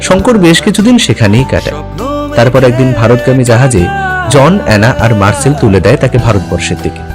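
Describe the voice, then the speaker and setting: Male narrator, medium pitch, very animated intonation, dramatic scripted storytelling, music behind. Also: brisk.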